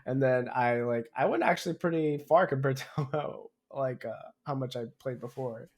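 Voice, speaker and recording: A young man co-hosting a podcast, talking casually and a little haltingly, with a teasing, chuckly manner. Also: mid-range voice, a little mellow. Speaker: podcast co-host. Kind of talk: casual gamer chat.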